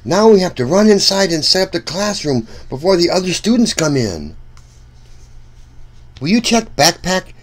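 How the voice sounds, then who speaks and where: A man reading a storybook aloud for children in an animated, deliberate way, speaking directly to young listeners. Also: high-pitched.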